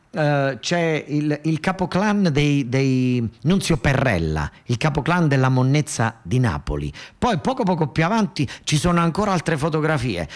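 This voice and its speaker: Male storyteller-actor, southern Italian accent, medium pitch. Colloquial, emphatic, rambling narrative monologue for radio theatre. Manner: repetitive, emphatic, rambling folk-storyteller delivery.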